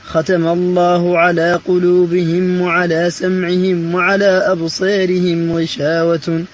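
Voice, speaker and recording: A man reciting the Quran as a student, chanting in a slow, measured way. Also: sits high, monotone. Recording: muffled audio, light background noise.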